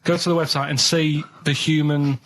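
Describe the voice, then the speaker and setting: A man's voice: fairly high pitch, level tone, moderate pace, a bit bright, a little hiss or hum. A man with a Manchester accent chatting on a radio show, rambling earnestly and a bit reluctantly. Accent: northern English, Manchester. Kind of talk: casual radio chat.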